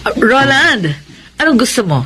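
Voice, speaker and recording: An actress playing a hospitable, bustling older-sister figure in a Filipino radio drama, chatty and welcoming. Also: female voice, sits high, expressive, normal speed, bright, forceful tone, slight background noise. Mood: cheerful, welcoming.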